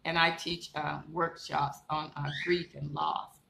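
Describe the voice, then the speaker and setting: A woman minister preaches to her online congregation in a low, gravelly voice. She keeps coming back to the same encouraging points and builds them up into emphatic declarations. Her voice rings around a room full of echo, with a faint hiss underneath. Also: level tone, unhurried, pushed and bright. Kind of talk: live-streamed sermon. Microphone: live stream setup. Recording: echoey room with faint background noise.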